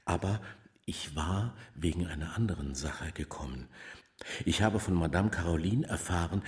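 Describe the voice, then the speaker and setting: A deferential working father in his fifties in a radio drama. His voice sits fairly low. He speaks haltingly and earnestly, with timid gratitude. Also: a little mellow. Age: 50s. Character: humble, deferential working man of fifty, proud father. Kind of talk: German radio drama acting.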